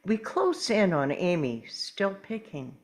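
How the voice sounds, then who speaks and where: A woman narrating scripted stage directions at a table read, measured, with dramatic pauses. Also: on the low side, very animated.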